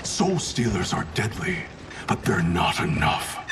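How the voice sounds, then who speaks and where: An actor playing a cold, proud ninja clan grandmaster in a video game, curt and commanding. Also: male voice, on the low side, sing-song, measured pace, far from the mic, heavy background noise. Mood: guarded, skeptical. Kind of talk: dramatic video game cutscene acting.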